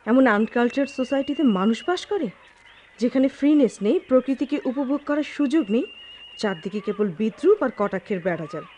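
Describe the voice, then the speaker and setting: An actress playing a haughty, discontented rich young wife with disdainful, theatrical delivery in a radio drama. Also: female voice, high-pitched, animated, brisk, dark tone, a little hiss or hum. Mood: weary, discontented. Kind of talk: melodramatic radio drama acting.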